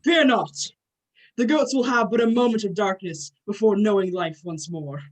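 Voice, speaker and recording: A woman's voice: mid-range voice, moderate pace, close to an everyday voice. A woman playing a courteous but short-tempered male god with formal, grandiose speech in a play reading. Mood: reassuring.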